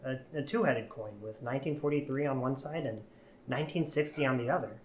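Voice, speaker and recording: A man's voice: mid-range voice, slight background noise, lightly acted. A man playing a haunted young storyteller, roomy sound. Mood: guarded. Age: young adult. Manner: earnest, halting, questioning. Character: haunted twenty-two-year-old man earnestly telling his eerie childhood story. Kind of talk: dramatic first-person storytelling in an audio drama. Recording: roomy, echoey voice recording.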